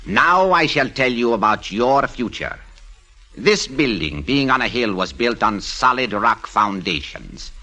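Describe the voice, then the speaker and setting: An actor playing a megalomaniac cult master in an old radio mystery, pompous, formal and coldly polite. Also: male voice, medium pitch, big pitch swings, a little slow, bright, forceful tone, full character voice. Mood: gloating. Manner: grandiose, pompous, formal diction. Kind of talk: 1940s-50s radio mystery acting.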